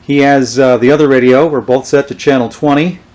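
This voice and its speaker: Unhurried man giving a show-and-tell. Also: medium pitch, steady tone, a little mellow, poor audio. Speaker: presenter showing vintage radio gear. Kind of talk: unscripted show-and-tell demonstration.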